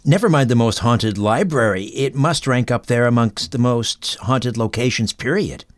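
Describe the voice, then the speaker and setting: A man's voice: medium pitch, normal speed, no echo. A man hosting an interview, remarking and putting questions to his guests in a broadcast style. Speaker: host, interviewer.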